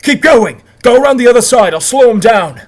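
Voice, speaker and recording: A man's voice: very high voice, expressive, fairly quick. A man playing a brave young survivor leader in an audio drama, speaking in short, urgent phrases. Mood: urgent shouting.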